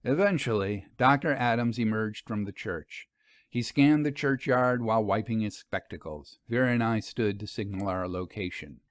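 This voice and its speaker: A man narrating a scripted first-person story in a measured, literary manner. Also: fairly low pitch, no echo, studio quality.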